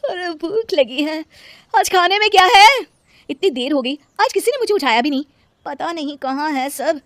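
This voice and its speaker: A woman voicing a lazy young daughter-in-law in an animated story, with a whining manner. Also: high voice, moderate pace, no room sound, voice pushed up. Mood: grumbling.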